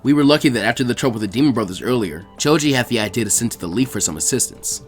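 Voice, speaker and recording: A composed veteran ninja teacher, put on by a male reader for an anime fan story. His voice drops low and stays measured and grave. Faint music sits behind it. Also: lively intonation, close to an everyday voice. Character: calm, seasoned adult male ninja teacher.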